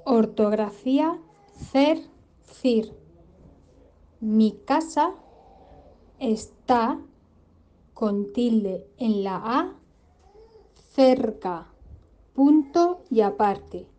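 A woman's voice: a little high, animated, extremely slow, long pauses, dark tone. A woman reading a spelling dictation, spelling out each accent mark. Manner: spells out accent marks word by word. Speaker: dictation reader. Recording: dull lo-fi recording.

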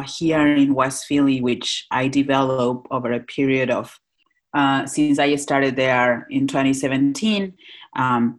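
A woman chef from Northwest Argentina with an Argentine Spanish accent, chatting in an interview with many pauses and fillers. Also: very deep voice. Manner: hesitant, many fillers, warm laughs. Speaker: chef and cafe owner. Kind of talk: casual interview chat.

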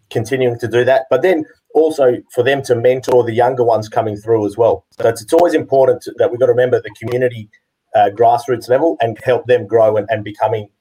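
A man's voice: medium pitch, mellow, low-quality recording. A man who is a guest in a casual podcast interview, steadily explaining referee training and often repeating "as well". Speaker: guest from a partner organisation running referee courses.

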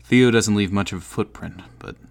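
An actor playing a shady, sneaky investigator in an audio drama, talking in a casual, muttering way. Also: male voice, on the low side, normal speed, gravelly. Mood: secretive, satisfied. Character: shady male investigator, sneaky, awkward when caught. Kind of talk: audio drama log entry.

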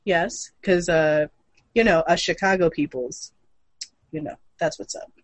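A woman co-hosting a podcast in playful, slangy chat, her voice deepened from being sick. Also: unhurried, dry recording. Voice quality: deep for a woman. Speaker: podcast co-host. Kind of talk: casual chat.

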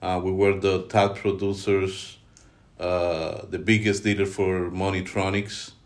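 Deep-voiced Colombian man, halting speech. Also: level tone. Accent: Colombian Spanish. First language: Spanish. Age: middle-aged. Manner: halting, many fillers and restarts. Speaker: guest, team leader. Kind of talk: podcast interview.

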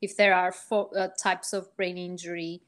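A woman from Portugal, a research psychologist explaining her work as a guest in a podcast interview, measured and full of ums and rephrasings. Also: lowish voice, little pitch movement, fairly bright tone.

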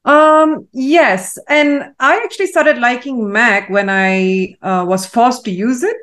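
A woman from Malaysia, a guest in an interview, answering in a thoughtful, measured way with frequent pauses and fillers. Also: fairly high pitch, fairly bright tone. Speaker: guest, AI consultant.

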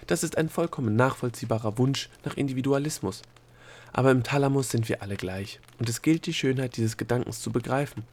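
A man voicing a prim, bureaucratic female thought-creature in a storybook, with a haughty, formal and measured manner. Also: mid-range voice, a little mellow, close to an everyday voice. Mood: lecturing. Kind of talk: storybook character dialogue.

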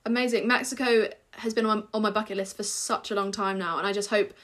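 Fast-talking woman podcast host. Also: medium pitch, steady tone, fairly bright tone. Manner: encouraging, quick-talking, frequent "you know". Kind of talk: interview hosting.

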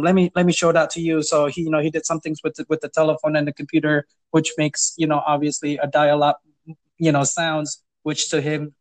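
A man's voice: fairly high pitch, low-quality recording. A man working in property management, telling stories in a casual interview, hesitant with many fillers and restarts, chuckling now and then. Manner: amused, chuckles while telling.

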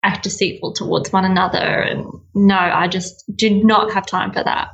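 A woman's voice: mid-range voice, fairly even intonation, normal speed, vocal fry, a bit bright, dull audio. A young woman with an Australian accent, chatting as an interview guest in a bubbly, giggly way and drawing out words for emphasis. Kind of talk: casual interview chat.

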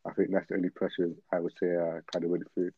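A man's voice: deep voice, monotone, quick pace, husky. A nineteen-year-old man, talking casually and reflectively as a guest on a thin recording. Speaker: podcast guest, student. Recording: thin phone-like narrow band.

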